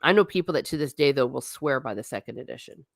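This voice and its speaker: A woman with a notably low voice hosts a relaxed livestream, talking straight to her viewers and answering their comments. She is chatty and blunt, with lots of asides. The sound is dry and close, with no room echo. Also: fast talker, gravelly. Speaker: livestream host. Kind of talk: casual livestream chat, reading viewer comments.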